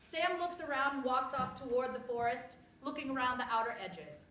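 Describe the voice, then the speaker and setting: Woman, story narrator, bright voice, theatrical scripted reading, roomy echo. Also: high-pitched, lively intonation, moderate pace. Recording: echoey room with clear background noise.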